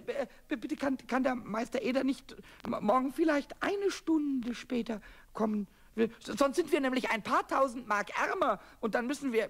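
An actor playing a small, cheeky, childlike kobold in a children's radio play, squeaky, stammering and repeating words excitedly. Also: male voice, full character voice. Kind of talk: 1970s German children's radio play acting.

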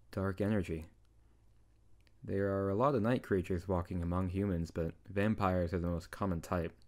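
Casting: male actor as deep-voiced wizard boyfriend, tsundere, teasing yet tender, for intimate ASMR roleplay. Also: a little mellow, lightly acted. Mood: calm, teasing.

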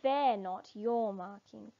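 A woman voicing a vain, excitable warthog in a children's storybook, exclamatory and emphatic. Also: medium pitch, takes it slowly, gravelly, lo-fi sound. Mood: smug. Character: boastful, taunting warthogs, later dismayed. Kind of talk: read-aloud children's storybook.

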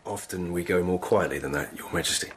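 An actor playing a grave, courteous palace lieutenant in a radio drama, measured and formal in manner. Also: male voice, deep voice, a little hiss or hum, close to an everyday voice. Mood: deferential.

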